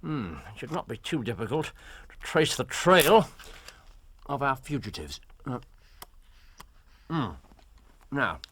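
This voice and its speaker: A man playing a keen, courteous gentleman detective in a period drama, with an English accent and precise, formal, questioning delivery. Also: mid-range voice, big pitch swings, slow pace, long pauses, slightly rough, dry recording, full character voice. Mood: absorbed, deliberate.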